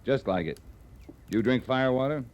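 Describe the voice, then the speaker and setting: An actor playing a gruff, steady frontier cavalry captain with an American accent, terse and dry, in an old-time radio western. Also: male voice, mid-range voice, normal speed, lightly acted. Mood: grave, plain.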